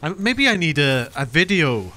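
A man's voice: a little high, big pitch swings, moderate pace, sharp tone. Guy hosting a gaming session, voice moves up and down a lot, faint noise behind. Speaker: video game player and channel host. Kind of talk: casual gaming chat.

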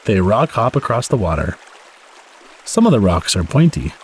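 A man narrates a children's picture book aloud. His voice sits fairly low and has a husky grain. He varies his intonation a lot, clearly telling a story to young listeners. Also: sing-song, normal speed. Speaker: story podcast host and reader.

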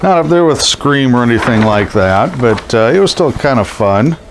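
A man's voice: mid-range voice, animated, normal speed. A middle-aged man with a head cold, sounding scratchy and stuffed up, rambling casually with many ums and uhs. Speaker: collectibles seller. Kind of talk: casual show-and-tell narration. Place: indoors over boxes of posters.

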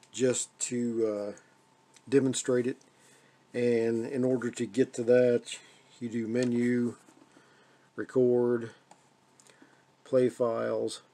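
An American man giving a casual, hands-on demonstration as a radio hobbyist, speaking off the cuff in a halting way with repeated phrases. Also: mid-range voice, mellow. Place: indoors at a radio desk.

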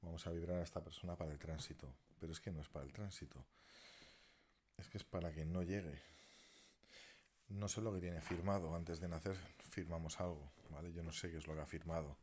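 Deep-voiced man rambling to followers. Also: fairly even intonation, brisk, darkish tone. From Spain. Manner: rambling, thinking aloud while working a device, at times muttering half-whispered. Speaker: alternative healer. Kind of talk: casual live video talk to followers.

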